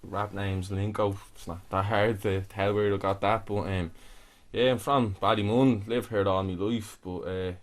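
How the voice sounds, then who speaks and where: Low-voiced young man. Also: fairly even intonation, normal speed. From Ghana. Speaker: rapper. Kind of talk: interview.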